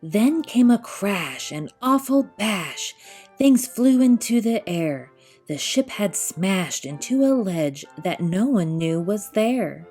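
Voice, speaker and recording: A woman narrates a children's picture book in rhyming verse. Her voice is mellow and mid-pitched, her delivery expressive and rhythmically measured. Soft music plays underneath. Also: breathy edge. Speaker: storyteller reading aloud.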